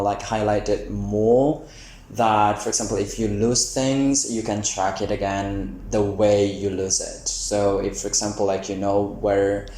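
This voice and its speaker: A young man with an Indonesian accent speaks in a fairly low voice. He explains things informally, straight to his viewers, like a vlogger. The room rings with echo, and there is faint noise behind him. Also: a little mellow. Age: young adult. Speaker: vlogger, PhD student.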